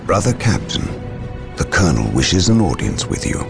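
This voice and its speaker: Casting: ominous sci-fi psychic warrior for a game, rumbling bottom-register voice, formal menace, loud music behind. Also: male voice, sing-song, moderate pace, creaky, heavily acted. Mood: menacing. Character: ominous, stern male psychic warrior-librarian. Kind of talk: dramatic video game acting.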